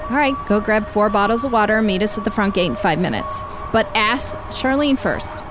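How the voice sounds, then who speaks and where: An actress playing a steady, commanding woman who leads her neighbors in a radio drama. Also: mid-range voice, brisk, music in the background, lightly acted. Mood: firm. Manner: firm, matter-of-fact. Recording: clear street ambience noise.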